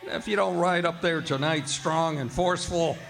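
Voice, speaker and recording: Man playing a blustering, gravelly Western mob leader. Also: a little high, normal speed. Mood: defiant. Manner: blustering, confrontational, folksy Western speech. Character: belligerent townsman leading an angry vigilante mob. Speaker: radio drama actor. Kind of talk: live radio drama acting. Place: hotel event room, live performance. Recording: noisy live stage recording.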